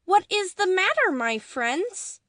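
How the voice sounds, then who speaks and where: A woman playing a kind, modest and determined little train engine in a children's storybook reading, gentle and earnest. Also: extremely high pitch, sing-song, slow pace, no echo, clean studio sound, full character voice. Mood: kind, concerned.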